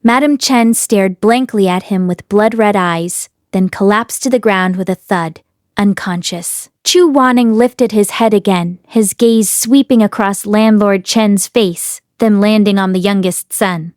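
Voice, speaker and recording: A woman reading a story aloud as an audiobook narrator, in an expressive scripted style. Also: medium pitch, a little slow, no echo, studio quality. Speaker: audiobook reader and host.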